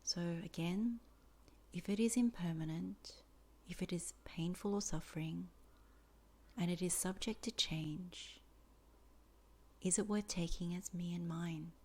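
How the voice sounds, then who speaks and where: Female meditation teacher, slightly low register, soft and breathy at phrase ends, measured and deliberate delivery. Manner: slow, deliberate phrasing with many pauses. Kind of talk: guided meditation instruction.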